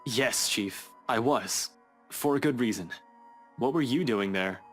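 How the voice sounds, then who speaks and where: Earnest young male detective, voiced by an actor: mid-pitched, frustrated yet respectful, game dialogue over faint music. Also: a little slow, natural voice. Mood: insistent. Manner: pleading, respectful to superior. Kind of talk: visual novel voice acting.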